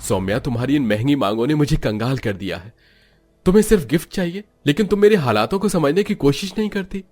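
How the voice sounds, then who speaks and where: A man's voice: on the high side, expressive, fast talker, darkish tone, voice pushed up. Exasperated husband over music, voiced by a man. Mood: frustrated. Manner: reproachful, emphatic. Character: exasperated husband drained by wife's greed.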